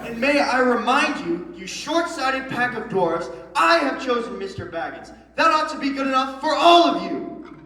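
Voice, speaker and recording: A woman's voice: high-pitched, sing-song, moderate pace, pushed and bright, a faint crowd, exaggerated voice. A woman playing an assured, scolding fantasy quest leader in a declamatory readers theatre style. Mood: firm scolding. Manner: firm, declamatory. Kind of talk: readers theatre stage performance. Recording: far mic, echoey hall, audience noise.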